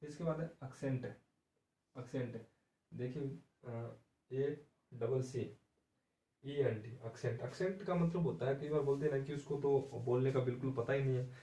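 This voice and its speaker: A man's voice: mid-range voice, moderate pace, pauses often, mellow, recorded from a distance. Man teaching in an echoey room. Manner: explains patiently, repeats words and spells them out letter by letter. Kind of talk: exam-prep vocabulary lesson. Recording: echoey room, voice somewhat off-mic.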